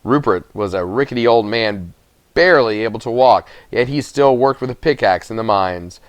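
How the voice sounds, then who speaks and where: A man's voice: fairly low pitch, moderate pace, dry recording. A man narrating a children's novel aloud from the text as a storybook reader.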